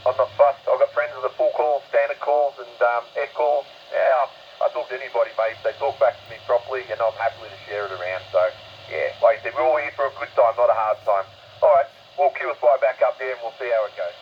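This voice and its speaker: A man's voice: mid-range voice, fast talker. An Australian man heard over shortwave radio, chatting in an easygoing, rambling, matey way as an amateur operator. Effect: received over shortwave radio. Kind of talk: casual ham radio chat. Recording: dull, noisy shortwave radio reception.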